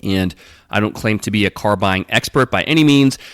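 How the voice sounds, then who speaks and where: A man's voice: lowish voice, moderate pace, a bit bright, clear, resonant tone, no echo, clean studio sound. A man hosting his podcast alone, reading announcements in a casual, rambling way while slightly stuffed up from a runny nose. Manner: conversational, rambling announcements with fillers. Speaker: podcast host. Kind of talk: casual solo podcast talk.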